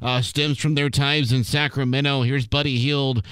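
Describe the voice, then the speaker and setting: A male sports reporter delivers a scripted radio update in a mid-pitched voice. The sound is close and dry, as from a studio mic. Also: steady tone, normal speed, fairly bright tone, clean tone, no echo.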